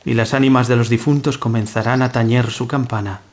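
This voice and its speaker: A man acting as a young nobleman and huntsman in a gothic audio tale. He speaks in a middle-pitched voice with a grave, courtly and declamatory manner, like a formal storyteller. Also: steady tone, brisk, a little mellow, a little hiss or hum, natural voice. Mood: commanding.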